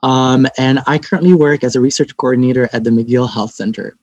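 A man's voice: medium pitch, a little mellow, husky, no echo. Polite young man from Lebanon, dry lo-fi sound. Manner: polite, measured, some "uh" and "um". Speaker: podcast guest, research coordinator. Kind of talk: interview answers. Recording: dry, dull lo-fi remote mic.

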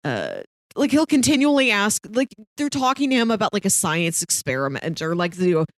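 A woman's voice: mid-range voice, sing-song, brisk, no room sound, clean studio sound. A woman co-hosting a podcast, chatting casually and expressively about a TV show. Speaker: podcast co-host.

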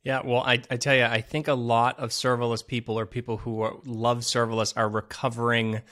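A man's voice: medium pitch, little pitch movement, a little fast, a bit bright. A man hosting a tech podcast, enthusiastic and loose, with lots of ums and you-knows. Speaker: podcast host. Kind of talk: casual podcast interview.

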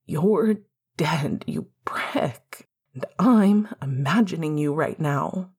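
A bitter young man deep in grief and drink, from an audiobook, read by a woman. His voice is low and husky, muttering and grumbling. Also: expressive, slow pace, a little mellow, exaggerated voice. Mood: weary, irritated.

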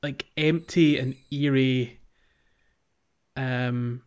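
A man's voice: mid-range voice, steady tone, very slow, darkish tone, smooth. A man hosting a podcast, talking casually and reflectively about video games, with some hesitation as he goes. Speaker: podcast host. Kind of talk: casual video game discussion.

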